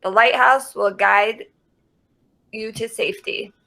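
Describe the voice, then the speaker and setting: A woman's voice: medium pitch, steady tone, takes it slowly, gravelly, bright, forceful tone, low-quality recording. A woman reading a children's nonfiction book aloud.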